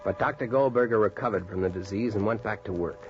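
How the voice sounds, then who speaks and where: An American man acting an earnest, determined doctor and storyteller in a measured 1940s radio style. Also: fairly low pitch, natural voice. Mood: stirring, solemn. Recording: muffled vintage radio, music behind.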